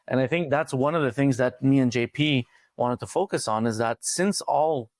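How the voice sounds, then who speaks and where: A man from Montreal, talking thoughtfully as a podcast guest, with many pauses and "uh". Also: medium pitch, dry recording, studio quality. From Montreal, Canada. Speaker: financial coach, podcast guest. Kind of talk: casual interview talk.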